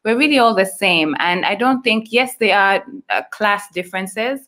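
A woman's voice: medium pitch, moderate pace, fairly bright tone. A young woman from Uganda, a guest on a video call interview, friendly and explaining at length. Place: small room indoors. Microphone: video call webcam.

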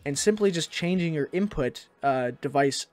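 Casual man with a slightly higher voice. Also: animated, moderate pace, darkish tone. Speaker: tutorial host. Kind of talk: casual software tutorial narration.

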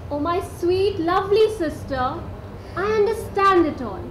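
A gentle, sensible elder sister, played by an actress, soothes and advises in a very high, motherly voice. The recording rings with stage echo and a faint hum. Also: lively intonation, unhurried. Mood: earnest.

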